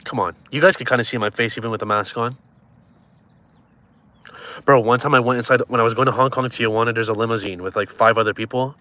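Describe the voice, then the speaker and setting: A man's voice: medium pitch, steady tone, fast, sharp tone. A man in his twenties chatting casually on a live stream, joking and teasing viewers as he reads their comments. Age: 20s.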